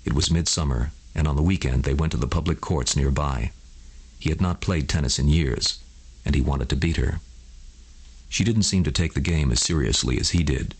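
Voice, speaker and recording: Very deep, husky male storyteller. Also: moderate pace, darkish tone. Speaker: storyteller, narrator. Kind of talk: scripted bedtime story narration for adults.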